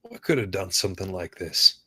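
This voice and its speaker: A man's voice: low-pitched, expressive, normal speed, bright, forceful tone, breathy edge, heavily acted. A man playing a curt, impatient small-town detective in a screenplay table read. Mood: guarded.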